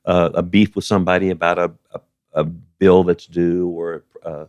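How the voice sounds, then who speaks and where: An older man giving conversational interview answers in a halting, plain-spoken way, repeating words as he goes. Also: low-pitched, flat delivery, darkish tone, no room sound, lo-fi sound. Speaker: guest, justice of the peace.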